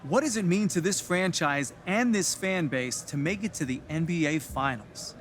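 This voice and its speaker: An actor playing a polite sports reporter asking press conference questions in a video game cutscene. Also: male voice, fairly high pitch, lively intonation, moderate pace, fairly bright tone, slight background noise. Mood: inquisitive.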